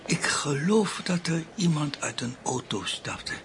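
Radio drama role: shaken priest, slow and unsure, careful delivery, audible background noise. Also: male voice, fairly high pitch, sing-song, a bit bright, heavily acted. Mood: hesitant. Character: shaken, hesitant priest recovering from a robbery attack.

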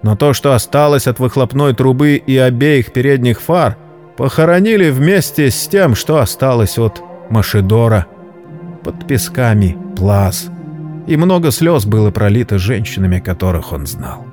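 A man narrating a scripted story dramatically, in a solemn, measured literary manner. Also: medium pitch, expressive. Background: background music.

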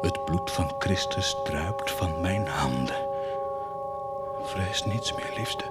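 An actor playing a tormented, fervent man who defies God, in declamatory radio drama style, swinging between hushed and roaring delivery. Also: low-pitched, lively intonation, takes it slowly. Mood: hushed, solemn. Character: tormented, fervent man defying God and claiming divine wounds. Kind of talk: theatrical radio drama acting. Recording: cavernous long reverb under loud music.

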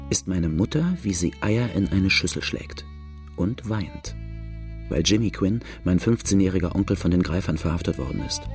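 A man playing a grave outlaw who recounts his hard life in a radio drama, measured and plain-spoken. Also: deep voice, darkish tone. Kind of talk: first-person radio drama narration.

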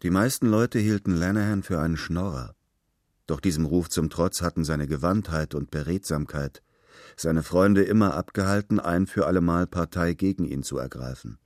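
A man's voice: deep voice, a little mellow, no echo, clean studio sound. A man narrating literary prose in a scripted radio drama style.

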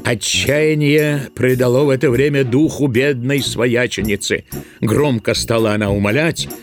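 A man narrating a literary audio drama in a measured, expressive storytelling style. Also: mid-range voice, faint music behind, close to an everyday voice.

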